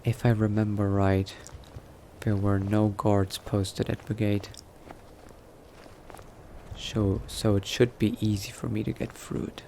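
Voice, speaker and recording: A man's voice: fairly low pitch, little pitch movement, slow pace, creaky, pauses often, mellow. A man voicing a cautious, coldly pragmatic new vampire in a roleplay, muttering to himself and often dropping into a whisper. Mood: wary, furtive. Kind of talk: in-character roleplay narration, thinking aloud. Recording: noticeable background noise.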